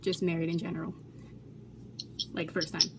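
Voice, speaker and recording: A woman's voice: lowish voice, flat delivery, normal speed, very noisy. A young adult woman asking questions in a casual, informal chat. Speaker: client asking questions, vlogger.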